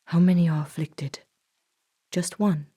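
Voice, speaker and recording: Woman playing hushed lady doctor, gentle but firm, really breathy, mellow, audio drama. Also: fairly low pitch, steady tone, unhurried. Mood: businesslike. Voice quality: breathy, husky. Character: guarded, caring female doctor and parent.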